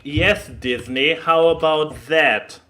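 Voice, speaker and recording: A man hosting a casual podcast chat, speaking haltingly and often stammering and repeating words. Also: on the high side, sharp tone, slight background noise. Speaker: podcast host.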